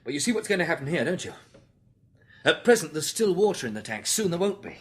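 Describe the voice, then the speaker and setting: Dry Englishman with lively intonation. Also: a little high, fast. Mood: urgent, grim. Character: pragmatic Englishman, survivor of a catastrophe, sober and curious. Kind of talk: radio drama acting.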